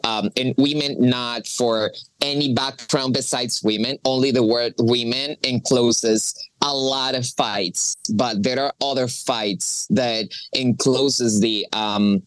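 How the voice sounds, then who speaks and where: A woman, a coffee professional talking as an interview guest, halting and searching for her words with frequent restarts. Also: extremely low pitch, no room sound, poor audio. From Colombia. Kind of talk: interview conversation.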